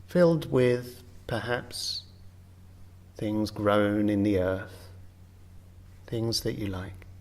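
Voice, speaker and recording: Guy doing yoga nidra guide, lowish voice, super slow and gentle, lots of pauses. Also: vocal fry. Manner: gentle, unhurried, with long pauses between short phrases. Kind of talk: guided meditation, slow spoken relaxation instruction.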